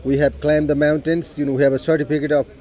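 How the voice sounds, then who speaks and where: Man, Nepali accent, middle-aged, warm mellow tone, unhurried storytelling, clears his throat often. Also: a little high, little pitch movement. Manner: unhurried, many "you know"s and throat clearing. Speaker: interviewee. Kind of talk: personal life story told in conversation.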